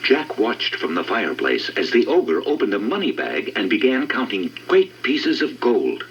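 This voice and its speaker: A man narrating a fairy tale with an expressive, dramatic reading. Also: fairly low pitch, moderate pace. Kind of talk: scripted storybook narration. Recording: dull lo-fi record with clear music.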